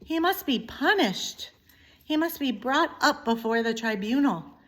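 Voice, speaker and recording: A woman's voice: high voice, expressive, unhurried, a bit bright, pitched above the performer's own voice, exaggerated voice. A woman voicing a stern father mouse in a storybook, blustering and dramatic, with emphatic declarations. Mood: furious.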